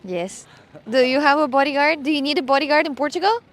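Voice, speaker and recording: A woman from Russia, streaming casual chat on a street with her phone, excitable and playful. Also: high voice, quick pace.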